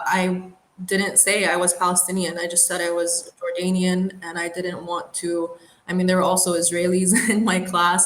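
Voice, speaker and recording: A young woman from the Middle East, an engineer, recalls her path in a reflective, unhurried interview voice, slipping in soft laughs. Her voice sits a little low. The recording sounds roomy, with a faint hum of noise behind her. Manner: thoughtful, unhurried, lightly laughing. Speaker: aerospace engineer guest.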